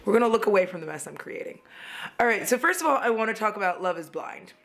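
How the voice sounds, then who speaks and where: A young woman, chatting casually while doing her makeup, somewhat hoarse from losing her voice, rambling and laughing often. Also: mid-range voice, lively intonation, quick pace, fairly bright tone, faint music behind. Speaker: beauty vlogger. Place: at home, indoors.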